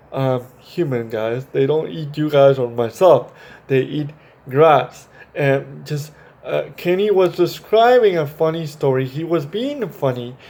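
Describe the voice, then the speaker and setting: A man hosting a children's storytime, reading and chatting to young kids in a halting, repetitive way with simple explanations. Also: fairly high pitch, mellow. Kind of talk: reading a picture book and talking to young children.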